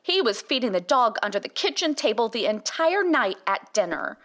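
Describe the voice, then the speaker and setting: A woman's voice: on the high side, very animated, moderate pace, a bit bright. An actress playing a cheery, doting 1950s housewife and mother, speaking in a wholesome, overly pleasant sing-song. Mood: gently scolding. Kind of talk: stylised retro family radio drama.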